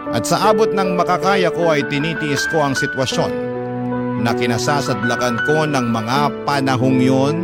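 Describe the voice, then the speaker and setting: Filipino man narrating over loud music. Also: mid-range voice. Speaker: radio drama narrator reading a listener's letter. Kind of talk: measured dramatic scripted narration.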